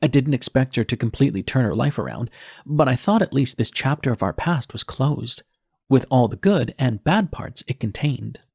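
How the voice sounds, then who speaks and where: A man's voice: medium pitch, lively intonation, normal speed, gravelly, a little mellow, breathy edge, no echo, studio quality. A man narrating an audiobook in the first person as a defiant, bitter young male nurse, with a sardonic, edgy manner. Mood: wry, bitter.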